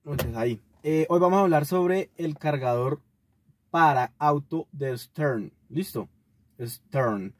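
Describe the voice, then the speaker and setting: A young Colombian man giving a casual, halting vlog review in an informal way, recorded inside a car. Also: fairly high pitch, lively intonation, no echo. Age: young adult. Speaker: video host reviewing a gadget. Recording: noisy car-cabin recording with clicks.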